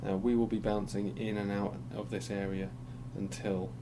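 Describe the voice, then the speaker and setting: A man with a croaky voice from being unwell, talking through market charts in a rambling, hesitant way with many ums and restarts. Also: lowish voice, normal speed. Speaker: crypto chart analyst. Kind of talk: casual screen-share market commentary. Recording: steady background hum.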